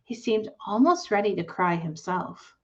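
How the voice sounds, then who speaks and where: A woman reading a children's storybook aloud as scripted narration, in a deliberate storytelling manner. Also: mid-range voice, expressive, dull audio. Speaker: storybook reader.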